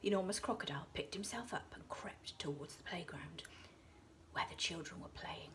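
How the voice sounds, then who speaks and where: An English woman, an infant school teacher, reading a children's story aloud, dropping into hushed whispers for suspense. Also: fairly low pitch, moderate pace.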